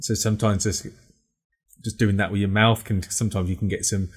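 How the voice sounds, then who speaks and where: A man's voice: on the low side, steady tone, fairly quick, husky. A man in his forties co-hosting a casual podcast over a video call from home, wearing a headset and explaining practical tips with some fillers. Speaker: podcast co-host. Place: home room, indoors.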